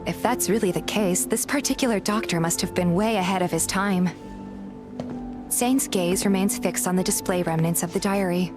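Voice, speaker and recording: A woman playing a curious, polite young game heroine, narrating in the first person and speaking her dialogue in an earnest, gentle manner. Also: fairly low pitch, fairly even intonation, normal speed, over music, lightly acted.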